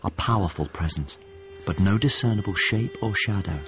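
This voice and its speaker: An actor playing a reflective narrator in a radio drama, telling memories in solemn scripted prose. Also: male voice, on the low side, moderate pace, highs cut off, noisy background, lightly acted. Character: reflective male narrator recounting strange memories.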